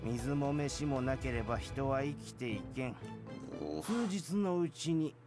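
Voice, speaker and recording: A man's voice: mid-range voice, moderate pace, close to an everyday voice. An actor playing a regretful, self-deprecating elderly man living alone, with old-fashioned speech, in a visual novel. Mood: grave, resigned. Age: older. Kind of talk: Japanese visual novel voice acting. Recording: game music behind the voice.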